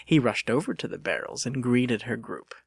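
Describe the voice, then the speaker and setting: A man narrating an audiobook as a dramatic reading, with theatrical, expressive storytelling. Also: medium pitch, normal speed, vocal fry, slightly rough, no echo, clean studio sound.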